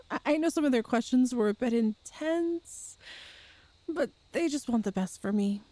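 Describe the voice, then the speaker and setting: A woman's voice: high voice, dry recording, heavily acted. A woman playing an affectionate, playful girlfriend from a ghost family in an ASMR audio roleplay, chatty and tender. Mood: teasing, relieved. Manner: chatty and tender, with soft laughs and sighs.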